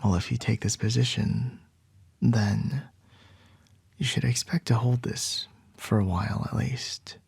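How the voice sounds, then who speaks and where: A man voicing a commanding male CEO boss in an ASMR roleplay, speaking in soft, measured whispers. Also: medium pitch, steady tone, creaky, slightly rough, no room sound, exaggerated voice.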